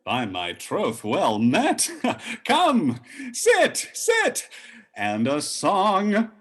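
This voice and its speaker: Man playing a slow, swooping, husky clown. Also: sits high, huge pitch swings, a bit bright, heavily acted. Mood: jolly, showy. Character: merry, boisterous clown courting a country woman. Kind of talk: Shakespearean radio play acting. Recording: radio play recording.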